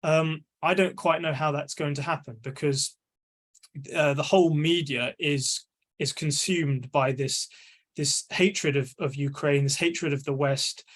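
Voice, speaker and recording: A man's voice: on the high side, fairly even intonation, measured pace. A young man on a video call, giving a thoughtful panel answer with frequent ums and restarted phrases. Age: young adult. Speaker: panelist, media analyst.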